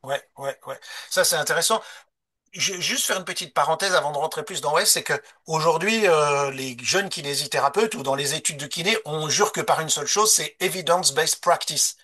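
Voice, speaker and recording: A man with a bright, forward voice pitched slightly high acts as interviewer. His talk is casual and engaged, full of ready agreement. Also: brisk. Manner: engaged, frequent short agreements.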